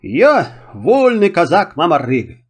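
Casting: plucky folk-tale Cossack, read by a man, high-pitched, cheerful and plain-spoken, very animated. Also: measured pace, husky, full character voice. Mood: hearty, flirtatious. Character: bold, cheerful, free-spirited Cossack hero, plain-spoken.

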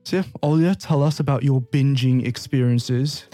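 A man's voice: medium pitch, steady tone, normal speed, dark tone, no echo, soft background music. A man chatting casually as host of a discussion. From Brazil and the UK. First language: English. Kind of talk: casual chat.

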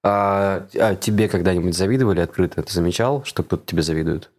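Deep-voiced man in casual chat. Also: fast talker, darkish tone. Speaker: conversation partner asking questions.

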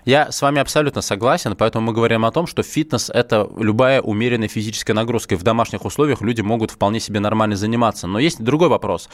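A man's voice: on the low side, quick pace, hardly any pauses, dry recording. Man hosting a dry-sounding radio show. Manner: calm, lectures on fitness. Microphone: studio microphone.

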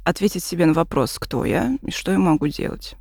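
Brisk woman chiming in on a podcast. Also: on the low side, gravelly, darkish tone, husky, no echo, clean studio sound. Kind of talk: casual podcast discussion.

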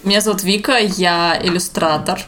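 A woman's voice: on the low side, steady tone, normal speed, fairly bright tone, slight background noise. A woman in her mid twenties co-hosting a podcast, talking casually among friends. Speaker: podcast co-host, illustrator. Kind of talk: casual group podcast chat.

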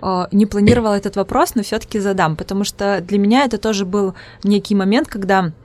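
A woman's voice: medium pitch, quick pace, darkish tone. Woman host with an Udmurt accent. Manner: hesitant, searching for words with many restarts. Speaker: podcast host. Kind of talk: casual podcast interview.

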